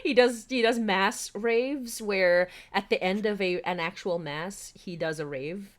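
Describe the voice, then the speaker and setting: A woman hosting a casual livestream, chatty and animated, often giggling as she talks. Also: mid-range voice, unhurried, slight background noise. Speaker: podcast host and writer.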